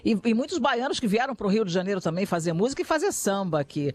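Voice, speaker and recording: A man's voice: sits high, big pitch swings, quick pace. A man hosting an interview, asking questions and adding engaged, affirming follow-ups.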